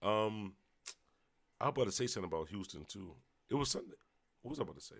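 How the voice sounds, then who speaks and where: A man's voice: lowish voice, lively intonation, fast, creaky. Tipsy, rambling man with a Buffalo accent. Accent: Western New York. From Buffalo, New York. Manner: loose and rambling, trailing off, shouting out viewers. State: a little tipsy. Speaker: livestream host. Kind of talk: casual livestream chat reading comments.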